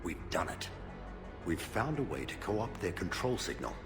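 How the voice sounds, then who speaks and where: A deep male voice over clear music, an older research director in a video game. He explains his work carefully, with some pride. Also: big pitch swings, normal speed. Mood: proud. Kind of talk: dramatic game dialogue.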